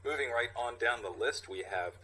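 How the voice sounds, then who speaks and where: A man co-hosting a comedy podcast, riffing loosely and haltingly as he reads out material. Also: medium pitch, bright, forceful tone. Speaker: podcast co-host. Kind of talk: loose comedic podcast banter and script reading.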